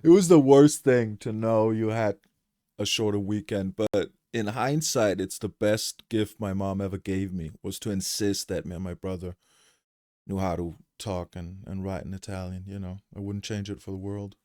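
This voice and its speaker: Low-voiced podcast host, dry sound. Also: male voice, fairly even intonation, normal speed, warm tone, no echo. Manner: reflective, chatty, with frequent fillers. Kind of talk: casual conversational podcast chat.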